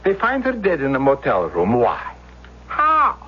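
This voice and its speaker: An actor playing an older immigrant restaurant man in a radio drama, excitable and baffled, speaking broken English with emphatic questions. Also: medium pitch, a little slow, slightly rough, exaggerated voice. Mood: agitated. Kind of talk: 1970s radio drama acting. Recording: muffled vintage radio recording, loud music behind.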